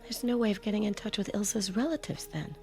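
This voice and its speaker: An actress plays a grieving, protective housewife in old-time radio style. Her delivery is tender and highly expressive, with a creaky edge. Soft music runs behind her. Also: quick pace, natural voice. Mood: worried. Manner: tender, pleading, emotional. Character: gentle grieving housewife, protective of an orphaned child. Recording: vintage-style radio drama, music underneath.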